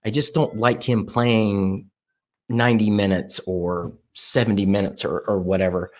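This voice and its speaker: A man hosting a casual soccer podcast, eager and talking in quick bursts as he asks his guest questions. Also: lowish voice, steady tone, darkish tone. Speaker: podcast host.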